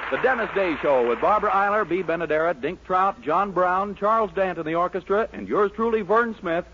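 A man's voice: high voice, a little fast, pushed and bright, slight background noise. A man announcing and narrating a 1940s radio show in a showy, polished, emphatic scripted style. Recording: dull, lo-fi 1940s radio recording.

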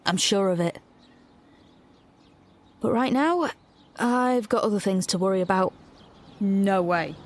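An actress playing a sarcastic, anxious young rebel in a dystopian audio drama, expressive and easily exasperated. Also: female voice, medium pitch, slight background noise, natural voice. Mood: uneasy, probing, then exasperated, alarmed. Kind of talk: audio drama acting with first-person narration.

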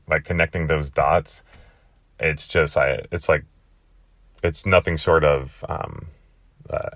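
A young man with a hoarse, worn-out voice hosting his podcast in a rambling, chatty way. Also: rumbling low, a bit bright, dry recording, studio quality. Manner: chatty, rambling with many fillers. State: hoarse, lost voice. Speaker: podcast host. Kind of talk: casual monologue.

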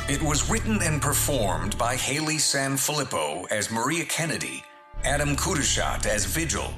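A male announcer reads scripted credits at an unhurried pace. His mid-pitched voice moves up and down a lot and sounds roomy, with music behind it.

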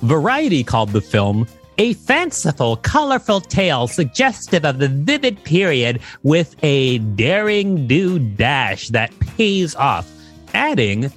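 A male podcast co-host with a lively, swooping voice who reads aloud and breaks into playful asides. He laughs easily and puts on quick comic voices. Faint music plays underneath. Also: mid-range voice. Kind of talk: reading aloud and casual commentary.

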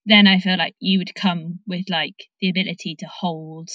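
A woman's voice: fairly low pitch, fairly even intonation, moderate pace, sharp tone, no echo, dull audio. A woman hosting a casual podcast conversation, supportive and informal, thinking aloud as she talks. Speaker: podcast host.

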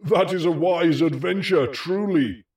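Guy doing a huge weary storybook giant, boastful n hearty, booming away, sounds back from the mic. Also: darkish tone, recorded from a distance. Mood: hearty amusement. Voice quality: big, deep, rough voice as scripted. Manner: roaring, grandly deliberate.

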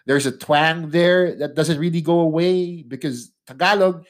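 Man from the Philippines, young adult, native English speaker, slightly higher voice, conversational solo podcast, tag questions, laughs mid-sentence. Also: measured pace. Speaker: podcast host. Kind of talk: solo opinion monologue.

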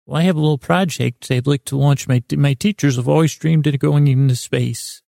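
A man voicing an earnest, polite little boy who loves science, pleading in a halting, naive way. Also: medium pitch, vocal fry, dark tone, no echo, clean studio sound, lightly acted. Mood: hopeful, pleading.

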